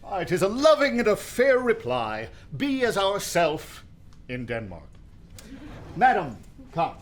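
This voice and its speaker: A man playing a regal, smooth-talking king with courtly, grandly persuasive delivery in a Shakespeare radio play. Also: high voice, huge pitch swings, a little slow, a little hiss or hum, full character voice. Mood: courtly, coaxing. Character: smooth-talking regal middle-aged king, Hamlet's uncle Claudius.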